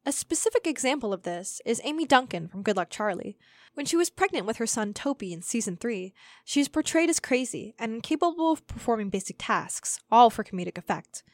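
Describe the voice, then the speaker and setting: Higher-pitched young woman reading an essay. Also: sing-song, brisk, dry recording. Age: young adult. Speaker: reader for a student audio zine. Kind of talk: scripted essay narration.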